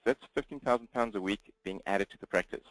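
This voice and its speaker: A man with a South African accent giving a webinar presentation as a business coach, talking fast with a slight mumble and frequent restarts. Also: fairly low pitch, no room sound, poor audio.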